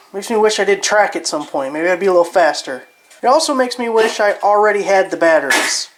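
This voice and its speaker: A man's voice: high voice, a bit bright. Casual man testing a gadget. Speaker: product reviewer. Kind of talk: casual hands-on product test narration. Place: indoors around a house, behind the camera.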